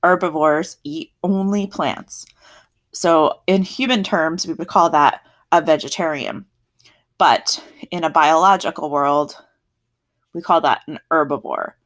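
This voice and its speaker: A woman's voice: low voice, unhurried, fairly bright tone. A woman teacher with a South Jersey accent giving a recorded science lesson, explaining in a friendly, chatty way and correcting herself as she goes.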